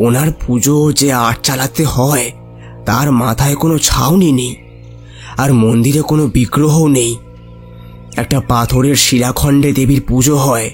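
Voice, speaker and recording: A man's voice: medium pitch, natural voice. An actor playing a curious, respectful village man, speaking earnestly and deferentially in an audio horror story.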